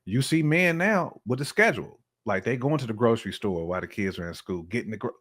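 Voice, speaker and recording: A man's voice: lowish voice, expressive, fast talker, a bit bright. A man giving his opinions in casual podcast conversation, restating his points with emphasis. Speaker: podcast speaker.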